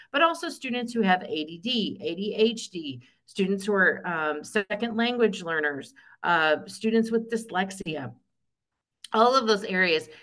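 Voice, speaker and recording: A woman from Michigan with a Northern US accent, giving a webinar lecture over slides in a clear, explanatory way with frequent ums. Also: on the low side, fairly bright tone. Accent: Northern US, Michigan with some Texas. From Michigan, USA. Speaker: accessibility presenter.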